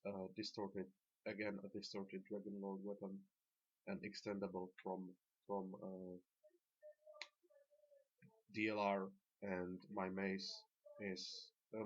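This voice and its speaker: A man with a Slovak accent giving halting, plain-spoken, unscripted commentary as he guides viewers through a video game. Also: low-pitched, completely flat delivery. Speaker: gamer presenting a dungeon tanking guide. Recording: dull lo-fi voice track.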